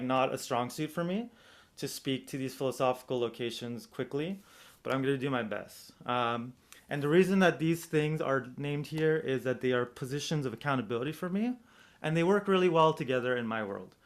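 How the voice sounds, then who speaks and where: American guy doing a kinda informal academic talk, mid-pitched voice, thinks out loud, hedges and explains as he goes. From United States, Southern California. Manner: reflective, hedging, thinking aloud with rhetorical questions. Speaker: presenter, domestic violence practitioner.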